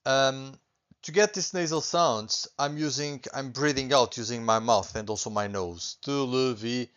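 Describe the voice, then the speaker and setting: A man's voice: mid-range voice, moderate pace, fairly bright tone, smooth, lo-fi sound. A Portuguese man with a Portuguese accent, teaching a beginners' language class in a patient, reassuring way that often repeats points and adds "okay?". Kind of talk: online language lesson.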